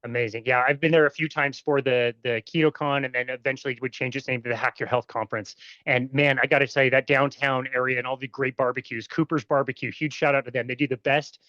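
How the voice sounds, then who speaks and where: A man's voice: mid-range voice, fairly even intonation, fast, hardly any pauses, bright, forceful tone, no room sound, highs cut off. A man hosting a podcast interview, enthusiastic and full of praise for his guest. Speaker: podcast host.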